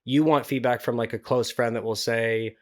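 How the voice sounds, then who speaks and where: A man's voice: mid-range voice, fairly even intonation, moderate pace. A man hosting a tutorial, talking casually and explaining things step by step in an informal way. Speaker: voice acting tutorial host.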